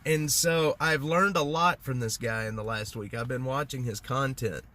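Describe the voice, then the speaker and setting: A man's voice: medium pitch, moderate pace, sharp tone, a little hiss or hum. A man, congested, chatting casually on a livestream in a rambling way with lots of fillers and restarts. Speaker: livestream host. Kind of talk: casual livestream chat with viewers.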